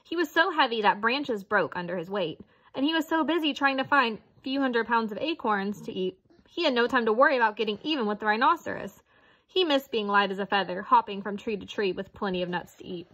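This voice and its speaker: A woman's voice: a little high, lively intonation, a little fast, fairly bright tone, lo-fi sound. A woman reading a children's picture book aloud as narrator. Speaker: storybook reader.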